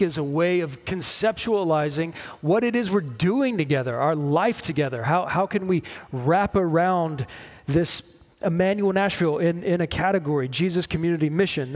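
A man in his forties preaching a sermon, explaining in measured short phrases with pauses. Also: on the high side. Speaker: pastor preaching. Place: church stage at a lectern, indoors.

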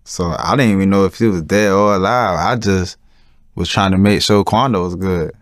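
A young man answering interview questions casually, with slangy, halting, repetitive phrasing. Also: deep voice, no room sound, clean studio sound. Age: young adult.

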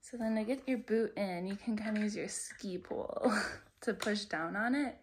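A young woman talks through her ski gear in a relaxed, friendly how-to, explaining each step as she handles it. Her voice sits at a middle pitch. A faint hiss and the click of equipment sit under her voice. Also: moderate pace. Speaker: outdoor gear vlogger. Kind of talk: casual how-to demonstration.

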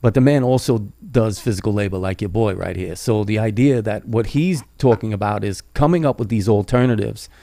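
A man's voice: fairly low pitch, a little fast, vocal fry, few pauses, warm tone, no echo. A man hosting a podcast interview, rambling along and tagging his sentences with "right?", on a close studio mic. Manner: rambling, keeps adding "right?". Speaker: podcast host. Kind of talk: casual interview talk. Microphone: studio boom mic with headphones, close.

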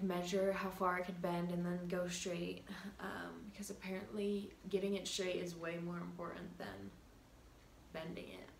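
A teenage girl chats to her laptop camera in a small bedroom, easygoing and full of likes and ums. Her voice has a light fry to it, and the small room rings around her. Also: on the low side, roomy sound, slight background noise. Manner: chatty, giggly, lots of likes and ums. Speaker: vlogger. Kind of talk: casual vlog talk to camera.

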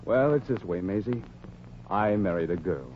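A man's voice: lowish voice, expressive, normal speed, natural voice. A man with an American accent acting an earnest, plaintive, lovelorn working-class husband in 1940s radio comedy. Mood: sheepish, earnest. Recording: dull, hissy 1940s radio recording.

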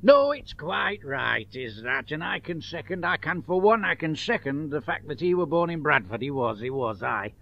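Man putting on a gravelly stubborn Yorkshireman. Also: on the high side, sing-song, moderate pace, hardly any pauses, pitched above the performer's own voice. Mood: insistent. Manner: repetitive, emphatic. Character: plain, stubborn Yorkshireman, repeating himself. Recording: dull, dry home-made tape.

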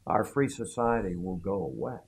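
An older man giving a formal commencement speech, halting and correcting himself as he goes. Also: sits low, animated, breathy edge. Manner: slow, halting, with self-corrections. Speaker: commencement speaker. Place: room before a backdrop.

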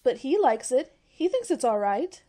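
A woman's voice: high voice, very animated, moderate pace, mellow. A woman playing an eager, naive young college girl, chirpy and gushing, in old-time radio comedy style. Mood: excited, gushing.

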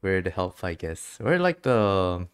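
A man with a fairly low voice talks casually as he streams a game. He is chatty and giggly, commenting in an informal, conversational way. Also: sing-song, unhurried, smooth.

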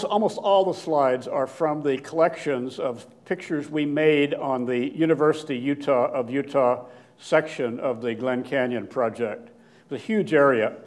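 An older man giving a slide lecture in a hall, with a loud voice and frequent fillers and restarts. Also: mid-range voice. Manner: loud, plain-spoken, halting with many fillers and restarts. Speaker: guest lecturer, archaeologist. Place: lecture hall with seated audience. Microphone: podium microphone, standing at lectern.

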